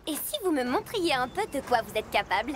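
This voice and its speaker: A woman's voice: sits high, sing-song, fairly quick, very noisy. A woman voicing a cheerful artistic lady truck who teaches music in a French children's cartoon, patient and theatrical. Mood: welcoming. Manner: theatrical, encouraging teacher delivery. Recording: loud music under the voice.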